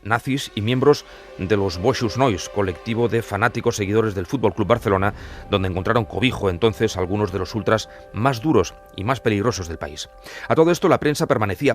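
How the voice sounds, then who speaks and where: A male journalist giving scripted true-crime narration in a measured, formal storytelling manner. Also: on the low side.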